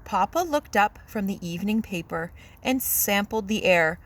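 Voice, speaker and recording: A woman's voice: medium pitch, animated, moderate pace. A woman reading a children's picture book aloud in a simple, teacherly, explanatory manner. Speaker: read-aloud storyteller.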